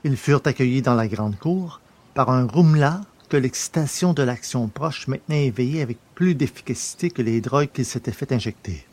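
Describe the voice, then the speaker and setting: A man's voice: medium pitch, moderate pace, a little mellow. A man narrating a scripted science fiction adventure story in a literary, storytelling style.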